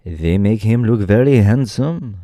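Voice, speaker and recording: A man voicing a gentle, naive pumpkin-headed man in a storybook reading, in a mild, polite way. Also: lowish voice, expressive, unhurried, dry recording, clean studio sound.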